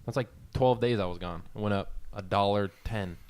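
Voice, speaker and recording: A man's voice: on the low side, brisk, a little mellow, smooth. A young man co-hosting a podcast, laid-back and full of slang. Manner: laid-back, swears casually, slang-heavy. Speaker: podcast co-host. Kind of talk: casual podcast chat.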